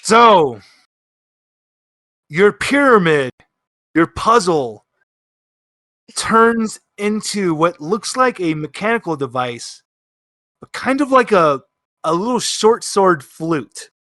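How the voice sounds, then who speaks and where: A man's voice: high-pitched, big pitch swings, takes it slowly, long pauses, sharp tone, dry recording, clean studio sound. A man acting as game master, casually narrating a tabletop game.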